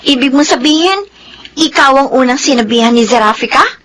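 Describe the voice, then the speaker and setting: A woman's voice: sits high, expressive, fairly quick, fairly bright tone. A woman acting a shrewd, assertive young confidante in a Filipino radio drama, pointed and insistent. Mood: probing.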